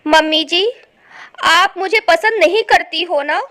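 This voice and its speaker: A woman plays a young daughter-in-law in a family story, in a very high, bright voice. She sounds hurt and sulky, pleading her case with plaintive emphasis and lively swings of pitch. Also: measured pace, full character voice. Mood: hurt, tearful.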